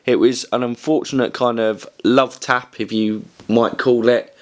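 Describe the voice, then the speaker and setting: A man hosting a weekly motorsport news show, croaky from a cold, rambling casually with lots of ums and restarts. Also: mid-range voice, steady tone, unhurried. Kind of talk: casual news commentary to camera.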